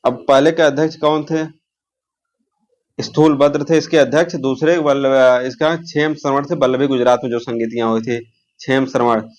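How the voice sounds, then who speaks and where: A man speaks as an exam coach at a medium pitch. He asks short questions and answers them himself. He repeats key terms in a didactic way so they can be memorised. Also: little pitch movement, moderate pace.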